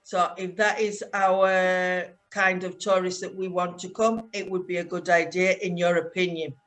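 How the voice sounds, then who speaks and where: A woman from the UK teaching English, guiding a conversation class in slow, clear speech with many fillers. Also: on the low side, flat delivery, fairly bright tone. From United Kingdom.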